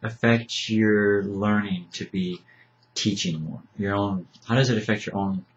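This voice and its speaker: An older man, a librarian, hosts an interview in a book-lined library room, talking with many hesitations and a gentle joking tone. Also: on the low side, steady tone, a little slow. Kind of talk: casual interview. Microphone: camera mic at table distance. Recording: slightly dull lo-fi webcam audio.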